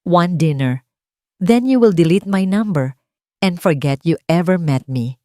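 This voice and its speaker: A woman's voice: on the low side, expressive, unhurried, warm tone, dry recording. Young working woman acted by a female narrator, fearful yet determined, incredulous edge, dry romance audiobook sound. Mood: shaky but decided. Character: frightened but defiant young working woman.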